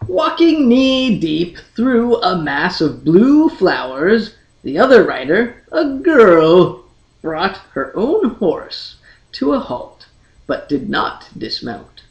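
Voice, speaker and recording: A woman narrating a fantasy story in a measured, dramatic storybook style with deliberate, drawn-out phrasing. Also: on the low side, big pitch swings, highs cut off. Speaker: audiobook reader, channel host. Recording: echoey, roomy sound.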